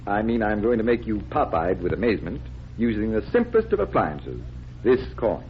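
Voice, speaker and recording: An actor playing a confident, genial stage magician in 1940s radio style, with theatrical, deliberate patter. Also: male voice, fairly low pitch, sing-song. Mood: showy, teasing. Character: genial male stage magician explaining tricks, showmanlike, confident. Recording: noisy, dull vintage 1940s radio recording.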